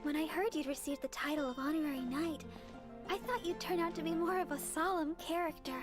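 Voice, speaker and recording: A woman playing a sweet, humble young deaconess and songstress in a fantasy game, speaking gently, earnestly and apologetically. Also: high-pitched, steady tone, brisk, music in the background, lightly acted. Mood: earnest, bashful. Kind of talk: animated game voice acting.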